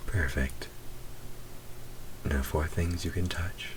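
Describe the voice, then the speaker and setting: An extremely deep-voiced male demon, acted by a man speaks slowly and intimately, teasing and flirting in breathy murmurs. It plays as a roleplay audio drama, with faint noise behind the voice. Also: steady tone. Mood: teasing, tender. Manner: slow, intimate, breathy, drifting into whispers.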